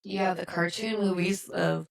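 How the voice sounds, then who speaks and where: A middle-aged woman with a gravelly, creaky voice, chatting casually as a podcast host. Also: lowish voice, steady tone, moderate pace. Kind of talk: casual chat.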